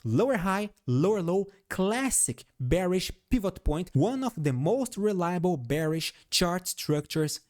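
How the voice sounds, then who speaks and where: A man's voice: high voice, big pitch swings, unhurried, few pauses. A man speaking English as a second language with a noticeable foreign accent. He walks through stock charts with emphatic, repeated phrases and keeps checking in with the viewers. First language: not English. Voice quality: noticeable non-native accent. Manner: emphatic, repeats phrases, frequent "all right?" check-ins. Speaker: stock market analyst. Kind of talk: technical analysis commentary over charts.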